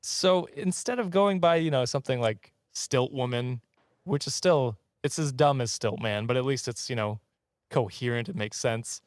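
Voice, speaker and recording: A man's voice: mid-range voice, moderate pace, no room sound, clean studio sound. A man hosting a casual comedy podcast, telling trivia and bantering in a jokey, chuckly way. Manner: jokey, often chuckling as he talks. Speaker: podcast host.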